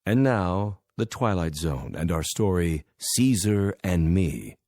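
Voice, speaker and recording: A man's voice: low-pitched, lively intonation, takes it slowly, darkish tone. A man announcing a radio drama in a scripted style.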